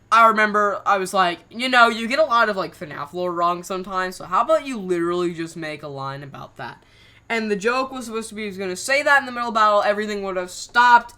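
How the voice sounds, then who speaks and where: A woman's voice: on the low side, pushed and bright. A woman hosting her channel, chatting casually through scrapped voice lines, rambling with slang exclamations. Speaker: channel host.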